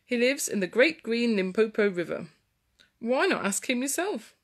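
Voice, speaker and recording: A woman voicing a calm, helpful male snake friend in a children's story, matter-of-fact and reassuring. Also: a little high, normal speed.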